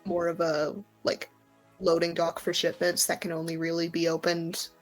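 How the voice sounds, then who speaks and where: A woman's voice: on the low side, completely flat delivery, moderate pace, soft background music, low-quality recording. A woman game master who improvises narration for a tabletop game and explains the scene in a conversational way.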